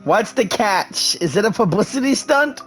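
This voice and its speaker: A man's voice: high-pitched, lively intonation, moderate pace, over music. A man doing casual gameplay commentary with joking, wry asides. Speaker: game streamer.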